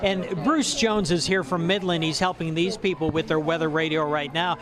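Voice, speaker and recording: A man's voice: fairly high pitch, fairly even intonation, normal speed, a crowd in the background. A man giving a live on-air weather report as a TV reporter.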